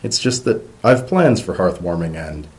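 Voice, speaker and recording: A man's voice: low voice, big pitch swings, normal speed, darkish tone. A man playing a weary, grumpy male pony bureaucrat with a dry, exasperated manner in a storybook reading. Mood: sardonic.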